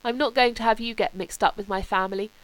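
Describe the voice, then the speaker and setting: A woman's voice: mid-range voice, steady tone, quick pace, lightly acted. A woman voicing a moody, wry young upper-class male student. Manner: languid, wry, turning curt. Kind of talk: audiobook character voice.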